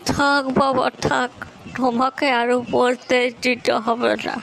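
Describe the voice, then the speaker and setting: A preachy cartoon villager acted by a woman grumbles and scolds in a high, gravelly voice. The delivery is very slow, with faint noise in the recording. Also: flat delivery, fairly bright tone, full character voice, low-quality recording. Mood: grumbling. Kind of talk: comedy cartoon dialogue.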